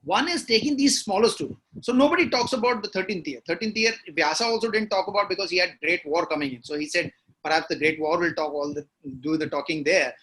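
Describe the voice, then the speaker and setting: A man's voice: high-pitched, quick pace, a bit bright, low-quality recording. A man with an Indian accent, a novelist guest in a conversational interview, explaining his work reflectively and slipping into Hindi at times.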